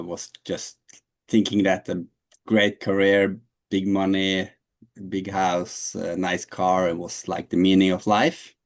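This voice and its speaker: A man in his late forties from Norway, answering interview questions in a hesitant way, with many fillers and restarts. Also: low-pitched. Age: 40s. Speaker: guest.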